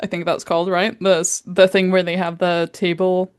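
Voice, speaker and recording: A young woman co-hosting a podcast, telling stories in a rambling, animated way and swearing freely. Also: lowish voice, normal speed, clear, resonant tone, no echo, clean studio sound. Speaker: podcast co-host. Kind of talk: casual storytelling chat.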